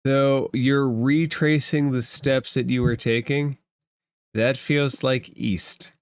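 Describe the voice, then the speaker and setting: Male game master for tabletop roleplay, informal and conversational, mid-pitched voice, very dry sound. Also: fairly even intonation, slow, clear, resonant tone, no echo.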